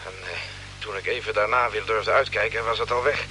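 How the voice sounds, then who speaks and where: A man's voice: fairly low pitch, steady tone, brisk, bright, forceful tone. A man acting an earnest, dutiful space freighter crewman in mid-century radio drama, deferential toward his superiors. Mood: earnest, shaken. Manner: deferential, earnest, hesitant when questioned. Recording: humming, noisy old radio drama recording.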